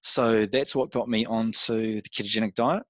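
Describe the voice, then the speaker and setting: Reflective New Zealand man, low-voiced. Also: steady tone, normal speed, a bit bright, no room sound. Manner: reflective, with frequent um and you know fillers. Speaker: podcast guest. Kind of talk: casual interview chat.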